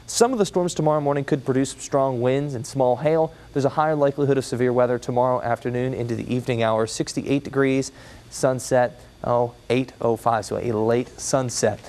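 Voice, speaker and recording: American guy doing the tv weather, medium pitch, explaining the forecast off the cuff. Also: steady tone, fairly quick, a little mellow. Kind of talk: ad-libbed broadcast weather report.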